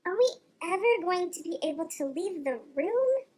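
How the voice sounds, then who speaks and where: A woman's voice: extremely high pitch, expressive, moderate pace, darkish tone, pitched above the performer's own voice. A woman voicing an innocent, worried little boy prince in a storybook, with a childlike, plaintive way of asking. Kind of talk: audiobook character dialogue.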